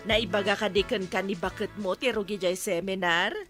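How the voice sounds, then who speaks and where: A woman's voice: mid-range voice, fairly even intonation, normal speed, music in the background, close to an everyday voice. A woman acting as a strict, bossy village aunt and coordinator in a comedy radio drama, with an Ilocano accent. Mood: strict, reminding. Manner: bossy, instructing.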